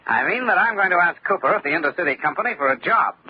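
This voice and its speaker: An actor playing a confident, brisk male insurance investigator in an old-time radio drama, with a clipped, commanding manner. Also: high voice, lively intonation, slight background noise. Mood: determined. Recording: muffled vintage radio recording.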